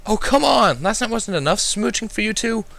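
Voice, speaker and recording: Man doing a whiny, high-pitched little dragon. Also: sing-song, moderate pace, vocal fry, full character voice. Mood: appalled, indignant. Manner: loud, groaning complaint. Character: annoyed little boy dragon, appalled and whiny.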